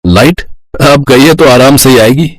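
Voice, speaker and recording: An actor playing an easygoing, teasing young husband in a cartoon story. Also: male voice, medium pitch, moderate pace, no echo, close to an everyday voice, poor audio. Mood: matter-of-fact.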